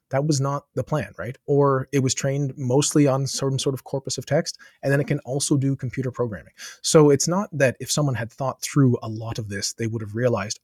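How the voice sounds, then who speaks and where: A man's voice: medium pitch, darkish tone, husky, no echo, studio quality. A man answering as a podcast guest, thinking aloud with many asides and restarts. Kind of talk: conversational interview answers.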